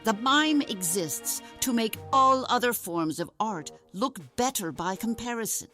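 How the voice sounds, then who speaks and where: A woman's voice: on the high side, lively intonation, pushed and bright, soft background music. A woman playing a solemn, wise carnival ringmaster in an audio drama, telling tales in a grave, formal manner. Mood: grave storytelling. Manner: grave, deliberate, formal storytelling.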